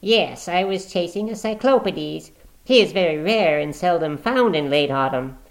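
A man acts out a fussy gentleman naturalist who bursts out eagerly and then turns quietly probing. His voice rides a little above the reader's own. It suits a storybook reading. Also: a little high, animated, moderate pace, vocal fry, pitched above the performer's own voice. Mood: excitable. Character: eccentric gentleman naturalist, excitable and wary. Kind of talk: audiobook character reading.

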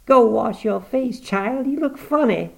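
A man reading aloud, voicing a sharp-tongued, stubborn old woman on her deathbed who scolds and commands. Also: medium pitch, measured pace, creaky, voice pushed up. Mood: scolding. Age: 80s.

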